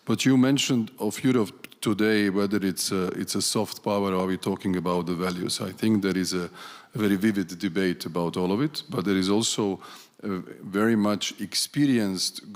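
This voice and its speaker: A man speaking as a conference panelist, talking deliberately and often restarting his phrases. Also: fairly low pitch. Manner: deliberate, with frequent restarts and fillers. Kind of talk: panel discussion remarks. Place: large conference hall. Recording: long reverb of a large hall.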